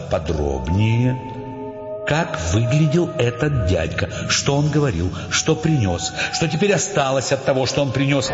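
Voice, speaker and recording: A male narrator telling a story in a radio play with dramatic, wry expression, in echoey sound with music behind. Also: medium pitch. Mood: insistent. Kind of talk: dramatic scripted audiobook narration. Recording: echoey reverberant dull sound over clear music.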